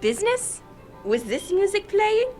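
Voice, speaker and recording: A woman's voice: extremely high pitch, big pitch swings, unhurried, a little mellow, full character voice. A woman playing a charming, worldly French society hostess in a radio drama, coquettish and playful. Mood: flirtatious. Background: music.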